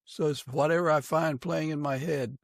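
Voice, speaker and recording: A man with a fairly high, creaky voice hosts a casual interview. He puts questions and adds his own remarks conversationally, in a dry recording. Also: fairly even intonation, normal speed, no echo. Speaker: podcast host, interviewer.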